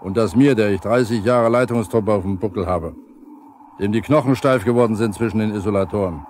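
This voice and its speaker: A man's voice: lowish voice, fairly quick, darkish tone, close to an everyday voice. An actor playing a proud, easily offended veteran foreman, grumbling and blustering in colloquial speech in a 1970s radio drama. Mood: indignant, grumbling. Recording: faintly noisy 1970s vinyl radio play.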